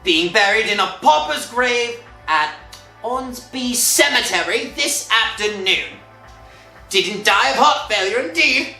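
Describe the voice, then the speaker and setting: A woman's voice: a little high, expressive, slow, bright, forceful tone, pitched above the performer's own voice, exaggerated voice. A woman voicing a brusque, dismissive male police constable in an old-time radio drama, offhand in manner. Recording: echoey, faint music underneath.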